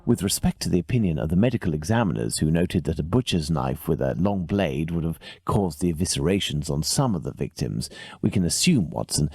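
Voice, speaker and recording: A gentleman detective from a mystery game, with an English accent. His voice is low and slightly rough, rising and falling in lively fashion as he lays out things precisely and formally. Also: no room sound, clean studio sound, full character voice. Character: keen, methodical male gentleman detective, coolly self-assured. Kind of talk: video game detective dialogue.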